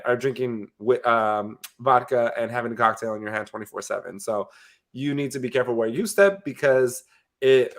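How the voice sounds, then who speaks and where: A man co-hosting a casual reality TV recap podcast, stuffed up from a cold, blunt and opinionated in a playful way. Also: mid-range voice. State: stuffed-up nose. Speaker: podcast co-host.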